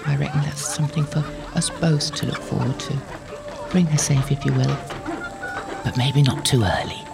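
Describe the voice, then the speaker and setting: An actress playing an elderly, devout medieval holy woman in an audio drama, gentle and wistful, musing hesitantly. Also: a bass voice, animated, moderate pace, vocal fry, full character voice. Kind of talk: audio drama acting, intimate prayerful monologue. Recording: long hall-like reverb, faint music behind.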